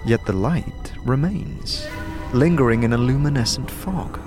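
A man's voice: medium pitch, a little slow. Man narrating fantasy over music. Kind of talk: scripted second-person fantasy audio drama narration. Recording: music behind the voice.

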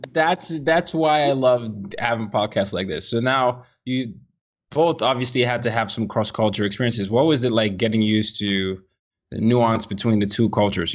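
A man's voice: on the low side, muffled recording. A man hosting a podcast interview who stammers into his questions and speaks encouragingly to listeners. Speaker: podcast host. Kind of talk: interview conversation.

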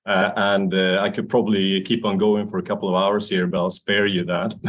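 A man's voice: low-pitched, flat delivery, normal speed, a bit bright. A Swedish man in his sixties, a native Swedish speaker, telling his story in an interview with many fillers, restarts and laughs. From Sweden. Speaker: guest, company founder. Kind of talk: casual interview talk.

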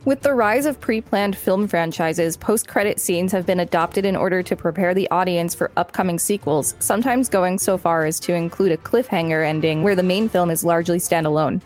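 A woman's voice: lowish voice, brisk, few pauses, a little mellow, soft background music. A woman co-hosting a podcast, reading an article aloud and chatting casually. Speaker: podcast co-host.

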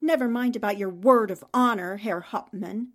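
A woman playing a defiant, sharp-witted young newspaperwoman held captive, speaking firmly and dramatically in old-time radio drama style. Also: mid-range voice, normal speed, natural voice. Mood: anguished, bitter.

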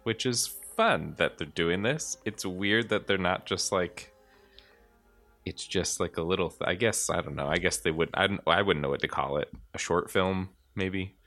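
Guy podcast host, really low voice, kinda rambling and hesitant, chatting casually. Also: normal speed, a bit bright, faint music behind. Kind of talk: casual chat.

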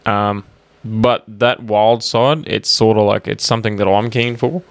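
A man's voice: lowish voice, fairly even intonation, measured pace, dry recording. A young man with an Australian accent chatting casually as a podcast co-host, blokey and full of slang and swearing. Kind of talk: casual chat. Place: small home gaming room.

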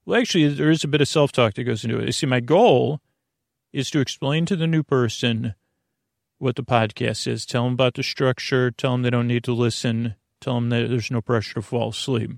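A man hosting a bedtime podcast in a rambling, halting monologue, trailing off, digressing and circling back to his point. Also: mid-range voice, vocal fry, no room sound, clean studio sound. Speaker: podcast host.

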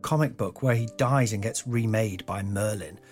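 A slightly croaky man hosts his own podcast, wandering from thought to thought in a chatty, self-mocking ramble with the odd swear word. He records at home in an old house on a mic with no pop shield, and faint music sits low in the background. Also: moderate pace, darkish tone. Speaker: podcast host. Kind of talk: rambling solo podcast monologue. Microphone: home podcast mic without a pop shield.

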